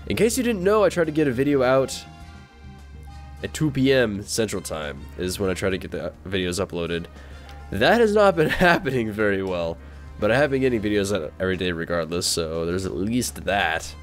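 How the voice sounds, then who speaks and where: Lively low-voiced man rambling over gameplay. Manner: self-deprecating, wry. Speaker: video game let's player. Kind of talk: casual, rambling game commentary.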